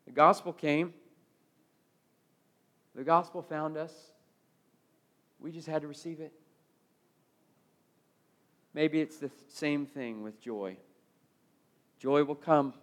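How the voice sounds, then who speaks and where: High-pitched American man preaching a sermon. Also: steady tone, slow, long pauses. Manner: emphatic, with rhetorical questions and repeated phrases; swings from loud proclamation to hushed phrases.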